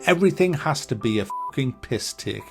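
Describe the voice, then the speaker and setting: A man giving a ranting movie review, animated, sarcastic and full of swearing. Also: mid-range voice, brisk, gravelly, faint music behind.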